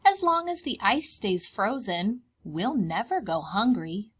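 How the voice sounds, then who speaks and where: Woman as a slow, soothing mama bear. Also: medium pitch, very animated, fairly bright tone, slightly rough, heavily acted. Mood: gentle reassurance. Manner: soothing, patient, unhurried. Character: gentle, reassuring mother polar bear.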